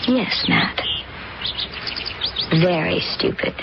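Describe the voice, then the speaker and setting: An actress playing a cool, cutting young heiress pushing a scheme in an old radio drama. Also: female voice, on the low side, wildly swooping pitch, drawn-out words, creaky, exaggerated voice. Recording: dull old radio recording, loud hiss.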